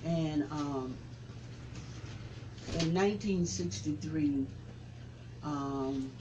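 A man in his seventies, a reverend, recalling the past in an interview with a preaching cadence that rises to forceful emphasis. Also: on the high side, very slow, muffled recording, noisy background. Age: 70s. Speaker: reverend, civil rights veteran. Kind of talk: interview reminiscence.

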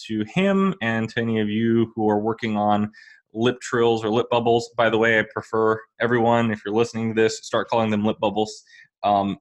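A man hosting a podcast, explaining singing exercises in a relaxed, easygoing way. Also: on the low side. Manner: relaxed, thinks aloud with fillers. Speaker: podcast host, vocal coach. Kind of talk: casual teaching chat.

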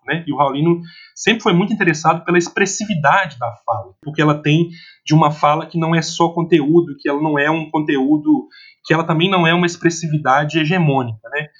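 A Brazilian man discussing film analytically off the cuff, haltingly, repeating words and often adding tag questions. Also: a little high, husky, highs cut off. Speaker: film commentator.

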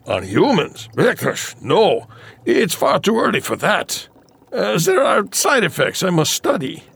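A man's voice: high-pitched, huge pitch swings, measured pace, creaky, fairly bright tone, husky, no echo, exaggerated voice. An actor playing an eccentric, scheming male scientist with a theatrical manner in a campy audio drama. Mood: excited, nervous.

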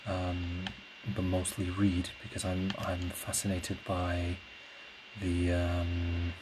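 Low, even-voiced man rambling reflectively. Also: slow. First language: Portuguese. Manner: hesitant, reflective, searching for words. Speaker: podcast host; classical singer. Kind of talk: casual solo rambling monologue. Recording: clear steady background noise.

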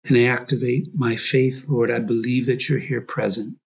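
Husky, gravelly man leading prayer. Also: mid-range voice, steady tone, highs cut off. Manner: reflective, prayerful, pausing in short phrases. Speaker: priest, meditation guide. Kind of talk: guided prayer meditation.

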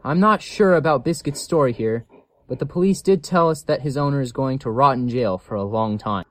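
Caring male shelter worker, mid-pitched, earnest and cautionary, dry recording, audio drama acted by a man. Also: fairly quick, no room sound, close to an everyday voice. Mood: grave, explanatory. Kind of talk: scripted audio story acting.

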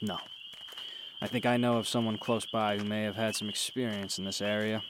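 An actor playing a confident, philosophical mentor in a radio drama, with a teasing, cryptic manner. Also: male voice, on the low side, level tone, fairly quick, noisy background, natural voice. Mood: calm, cryptic. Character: cryptic, wry, confident male adventurer and mentor. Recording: music behind the voice.

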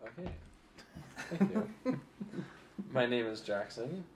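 A nineteen-year-old man on a casual podcast, dropping playful deadpan asides. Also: mid-range voice, takes it slowly, slight background noise. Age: young adult.

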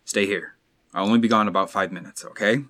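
A man voicing a steady, determined male paleontologist with clipped, practical lines in a fan audio drama. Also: fairly low pitch, fast, no room sound, studio quality, close to an everyday voice. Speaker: voice actor.

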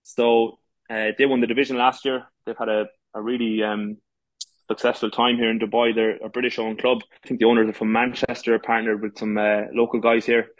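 Irish man, thirties, quick flat delivery, dry sound, stumbles and restarts. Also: mid-range voice, no room sound, dull audio. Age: 30s. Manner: many false starts and fillers. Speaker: podcast guest, goalkeeper and coach. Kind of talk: casual interview talk.

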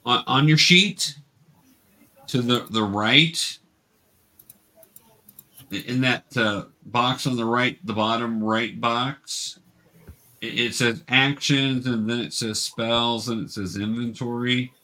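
A man's voice: mid-range voice, slow pace, pauses often, sharp tone. A man acting as game master, conversationally narrating a tabletop game and patiently explaining things step by step to young players.

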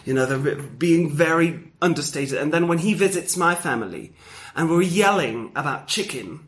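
A man's voice: on the high side, moderate pace. A man, co-hosting a casual chat show and telling stories with lots of acted-out voices. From Mexico. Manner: animated storyteller, acts out quoted voices. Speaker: podcast co-host.

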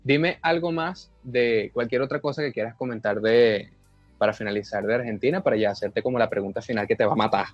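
A man hosts the interview with a teasing edge, firing off quick remarks. His pitch sits in a middle register. The audio is dry and muffled, with faint music behind him. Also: no room sound. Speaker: host interviewer. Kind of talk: casual chat.

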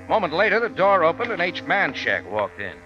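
Fast-talking hardboiled radio hero. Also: male voice, a little high, huge pitch swings. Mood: brisk narrating. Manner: wry, terse, slangy. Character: tough, wry American-style hardboiled bar owner hero. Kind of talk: hardboiled radio drama narration and dialogue. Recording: dull vintage radio recording, music behind.

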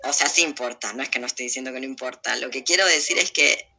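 A woman's voice: a bass voice, quick pace, sharp tone, husky. A woman giving an opinionated interview in a blunt, sardonic, emphatic way, repeating phrases to drive points home. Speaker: interview guest. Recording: muffled lo-fi audio.

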